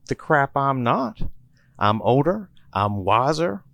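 A man voicing a gruff, bossy older zombie hunter who talks loud and sarcastic, with a gravelly edge. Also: medium pitch, lively intonation, moderate pace, dry recording, voice pushed up, lightly acted.

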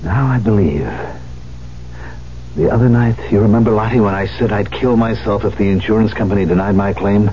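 Actor as a deep-voiced, volatile concert pianist. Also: male voice, a little fast, mellow, lightly acted. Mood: fervent conviction. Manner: theatrical, emphatic, volatile. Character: proud, embittered concert pianist who lost his hand. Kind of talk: 1970s radio drama acting. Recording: muffled, hissy old radio broadcast.

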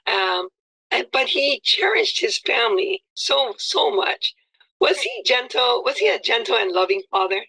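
A woman's voice: a little high, very animated, a little slow, creaky, bright, forceful tone, slightly rough, rough recording. A woman hosting an interview, effusive and complimentary, with many fillers. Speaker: interview host. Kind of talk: friendly interview chat.

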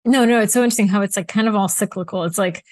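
A woman's voice: medium pitch, rapid-fire, a little mellow, no echo. A young woman from Bulgaria interviewing a guest, upbeat and casual, using lots of "like" and "um". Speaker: interviewer, host. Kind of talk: conversational interview.

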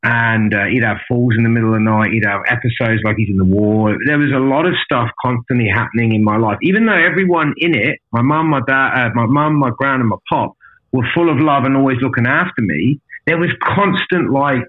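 A man speaks as a podcast guest, telling his story in a candid, matey style and swearing freely. His pitch sits around the middle for a man. The sound is narrow and phone-like, as if through a small radio. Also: brisk. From an island outside Australia.

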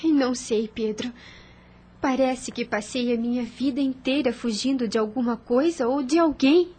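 An actress playing a gentle but firm, loyal young woman in a radio soap, speaking in an earnest, formal way. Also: fairly high pitch, moderate pace, breathy edge. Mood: gentle, reassuring. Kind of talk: radio soap opera acting.

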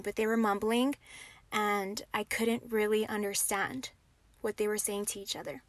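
A young woman in a lively storytime, her voice a bit high and her delivery animated, with dramatic pauses and casual fillers. The recording is dry and close, with no room sound. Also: dry recording. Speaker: podcast host. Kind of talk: storytime narration.

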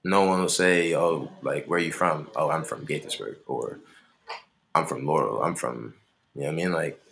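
A man's voice: rumbling low, moderate pace, creaky, fairly bright tone. A young man from Maryland, a musician, talking casually in an interview in a laid-back, rambling way with lots of fillers. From Maryland, USA.

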